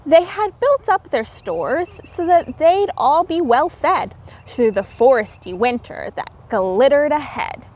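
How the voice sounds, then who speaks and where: A woman reading a story aloud to young children as a teacher, expressive and explaining things simply. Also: high-pitched, takes it slowly, lo-fi sound.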